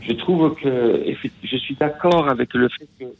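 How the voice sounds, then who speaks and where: Italian-born male caller, gravelly, hesitant; tinny phone line. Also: mid-range voice, a little hiss or hum. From Italy. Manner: hesitant, halting, many restarts. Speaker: radio caller, musician. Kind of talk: phone-in call to a radio show. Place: at home.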